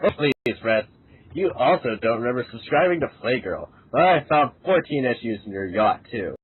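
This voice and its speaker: A man's voice: mid-range voice, expressive, normal speed, breathy edge. A man playing a reckless, flippant, eager man in an amateur comedic audio drama. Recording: tinny phone-like lo-fi sound.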